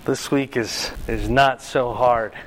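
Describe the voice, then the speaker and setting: An older man with a loud voice leading a Bible class, quizzing his group and pressing them to answer. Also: medium pitch, fairly even intonation, slow, slight background noise. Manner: questioning, repeats and presses for answers. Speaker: Bible study teacher. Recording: reverberant room.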